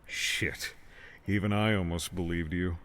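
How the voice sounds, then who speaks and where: A man's voice: sits low, fairly even intonation, measured pace, a bit bright. A man voicing a menacing, mocking male villain in an audiobook, with a haunting, piercing, accusatory delivery. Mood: bitter taunting. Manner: taunting, accusatory. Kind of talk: audiobook character dialogue.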